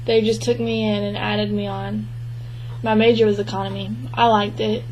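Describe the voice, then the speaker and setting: A woman voicing the spoken interpretation of a signed interview, speaking in an even, measured way with frequent restarts. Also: medium pitch, dull audio, a little hiss or hum. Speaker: sign language interpreter voicing a deaf man's answers.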